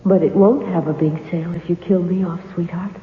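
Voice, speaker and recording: A woman's voice: lowish voice, fairly even intonation, fairly quick, close to an everyday voice. An actress playing a pleading, coquettish imaginary woman from a novel in a 1970s radio drama. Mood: coaxing, petulant. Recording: echoey reverb, muffled noisy old radio.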